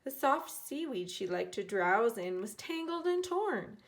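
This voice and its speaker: A woman teacher reading a children's storybook aloud in an expressive way, acting out the characters. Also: on the high side, measured pace. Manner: expressive, dramatizing characters' lines.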